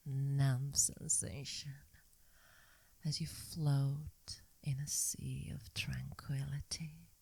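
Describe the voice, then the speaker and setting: A woman playing a seductive, dominant vampire mistress in an ASMR hypnosis roleplay, whispering slowly and breathily with a teasing, coaxing, commanding manner. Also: extremely low pitch, mellow, slightly rough, no echo, full character voice. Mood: soothing, hypnotic. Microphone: close microphone in a dry space.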